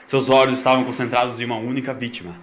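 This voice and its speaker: A man's voice: medium pitch, little pitch movement, racing, a little hiss or hum. Man narrating dramatically in heavy echo. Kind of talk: scripted dramatic story narration. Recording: echoey, with music behind.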